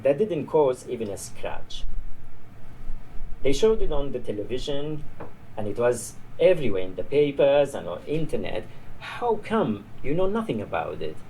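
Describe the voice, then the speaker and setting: Man playing old male border guard, weary, chatty, discouraging, mellow tone, swinging pitch, noisy live storybook reading. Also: sing-song, normal speed. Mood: mocking, emphatic. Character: tired old male border guard, dismissive, grimly amused.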